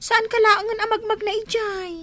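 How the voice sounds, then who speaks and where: A woman's voice: very high-pitched, flat delivery, normal speed, bright, forceful tone. A woman playing a worried younger child who pleads and nags in an Ilocano radio comedy drama. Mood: worried, scolding.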